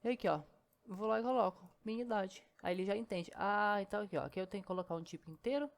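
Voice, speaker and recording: A woman walks through code at a quick clip, chatty and step by step. Her pitch sits in a middle range, and keyboard typing can be heard behind her. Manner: chatty, explains step by step, keeps saying "ó" and "né". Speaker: programming teacher. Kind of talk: casual screen-recorded coding lesson.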